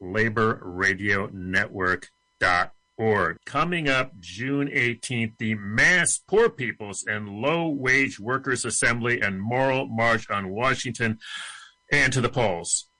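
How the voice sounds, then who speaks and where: A man hosting a labor radio show, reading the show's rundown in a brisk announcer delivery and then interviewing guests. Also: medium pitch, sharp tone, no room sound, poor audio. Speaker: radio and podcast host. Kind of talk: scripted show narration and interviewing.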